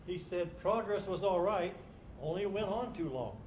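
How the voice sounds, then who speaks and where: A man's voice: sits high, lively intonation, moderate pace, a little mellow. Man, stage reader, literary delivery, echoey live recording, faint background noise.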